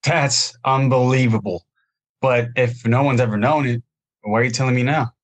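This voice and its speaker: A man voices a young Broadway chorus dancer in an audio play, stranded in the city. He speaks earnestly and pleads at a medium pitch, sounding sincere and eager to please. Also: steady tone, normal speed, natural voice. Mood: grateful. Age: young adult.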